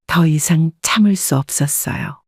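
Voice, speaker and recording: A woman narrating her own family story in the first person, calm and reflective. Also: sits low, a little slow, no room sound, clean studio sound.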